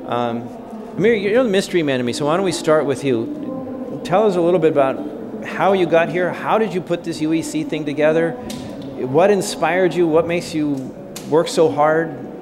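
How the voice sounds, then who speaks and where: A man's voice: a little high, moderate pace. Guy moderating a panel in a conference room, chatty and inviting, noisy background. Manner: conversational, inviting, restarts phrases. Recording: audible background noise.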